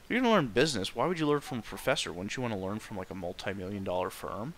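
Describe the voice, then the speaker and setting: A man chats casually on a game stream in a fairly low voice with a bright, forceful edge. He is opinionated and leans hard on his points. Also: lively intonation, rapid-fire. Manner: opinionated, emphatic. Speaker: streamer chatting during a game playthrough. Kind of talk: casual chat.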